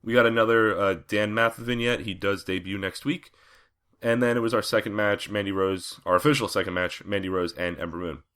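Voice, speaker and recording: A low-pitched man with a bright, forward tone, talking through a casual podcast recap. His throat is a bit raspy and scratchy from a cold coming on, and he fills pauses with plenty of "uh"s. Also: steady tone. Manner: conversational with frequent fillers. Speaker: podcast host.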